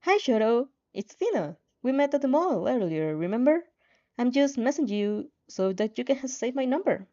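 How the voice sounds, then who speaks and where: A woman's voice: on the high side, sing-song, normal speed, warm tone, dull audio. A woman voicing a friendly, encouraging young heroine who chats in a kind, reassuring way in a fanfiction audio drama.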